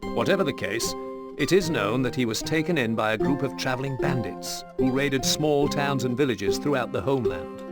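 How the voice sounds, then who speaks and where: Male game narrator, mid-range, measured and formal storytelling, set over strong musical backing. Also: mid-range voice, lively intonation. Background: loud plucked-string music.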